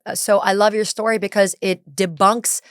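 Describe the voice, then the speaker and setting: A woman's voice: mid-range voice, steady tone, normal speed, smooth, no echo, studio quality. A woman in her thirties hosting a podcast interview, chatty and playful, laughing easily. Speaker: podcast host. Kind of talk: casual interview chat.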